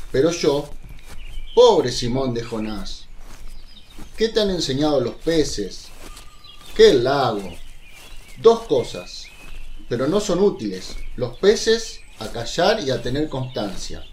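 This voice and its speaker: An actor playing a humble, curious, talkative fisherman disciple in a radio drama, with a folksy, animated manner. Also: on the high side. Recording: echoey room with some background noise.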